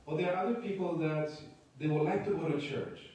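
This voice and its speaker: A man's voice: a little high, a little mellow. Man preaching in short bursts, echoey hall. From Chile. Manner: speaks in short phrases, pausing for translation. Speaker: guest pastor. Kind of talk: sermon with live interpreter. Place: church sanctuary. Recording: echoey church hall from far off.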